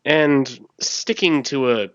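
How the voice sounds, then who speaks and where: Candid bright-voiced man narrating. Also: medium pitch, slow pace, no echo, dull audio. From Los Angeles. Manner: candid and casual, with loose asides. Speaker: documentary director and interviewer. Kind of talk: reflective voice-over narration.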